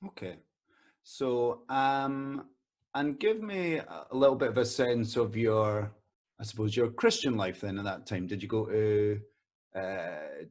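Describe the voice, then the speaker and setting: A man interviews a vicar on behalf of his church community. His questions wander and pile up, one after another, with pauses and ums. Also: medium pitch. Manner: rambling, stacks several questions at once.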